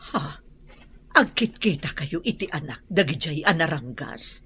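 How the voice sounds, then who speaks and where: A woman's voice: sits low, animated, unhurried, fairly bright tone, a little hiss or hum. A woman acting a worried, pious mother with a cautioning manner in a radio drama.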